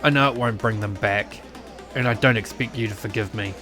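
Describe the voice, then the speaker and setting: A man's voice: lowish voice, a little fast, over music, close to an everyday voice. A man voicing a reserved, remorseful young man in a visual novel, speaking in a subdued, sincere way.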